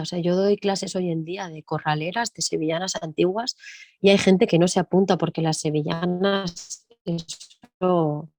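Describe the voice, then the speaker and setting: Low, mellow-voiced woman musing aloud. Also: gravelly, no echo, clean studio sound. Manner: reflective, thinks aloud with frequent tag questions. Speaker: music teacher and percussionist guest. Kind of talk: conversational interview.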